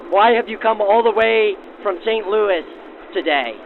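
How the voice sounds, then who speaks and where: Man, high voice, radio host and interviewer, 1940s radio manner, narrow vintage radio filter. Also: a little slow, slight background noise. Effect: aged 1940s radio filter. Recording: narrow, lo-fi vintage radio sound with faint music.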